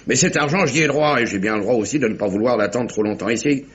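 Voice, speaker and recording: Un acteur jouant un capitaine de navire marchand anglais, bourru et impatient, au parler direct et familier, dans une dramatique radio policière française. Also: male voice, mid-range voice, fast talker, fairly bright tone, natural voice. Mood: impatient, grumbling. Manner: blunt, colloquial, grumbling. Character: gruff, impatient male English merchant sea captain. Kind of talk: French radio mystery drama acting. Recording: dull, noisy vintage radio recording.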